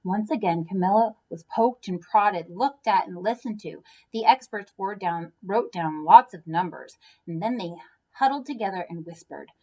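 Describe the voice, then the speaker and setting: A woman reading a children's picture book aloud expressively, with an animated manner for young listeners. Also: medium pitch, moderate pace, poor audio. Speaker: read-aloud storyteller for children.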